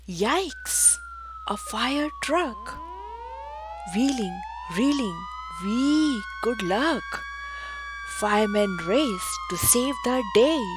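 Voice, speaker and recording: A woman's voice: a little high, noisy background. A woman reads a rhyming picture book aloud for preschoolers in a theatrical, exclamatory, rhythmic way, with music behind her. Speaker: children's book reader.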